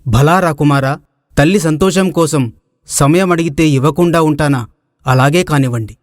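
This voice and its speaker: A gracious, grateful storybook king, played by a man, declaims with formal feeling. His voice sits a little high for a man, recorded dry. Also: moderate pace, no echo, natural voice. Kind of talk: Telugu moral story voice acting.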